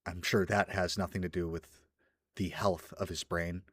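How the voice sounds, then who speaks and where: A man's voice: low voice, little pitch movement, normal speed, no room sound, clean studio sound. A man commenting on a video in a blunt, scornful, ranting way. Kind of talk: reaction commentary.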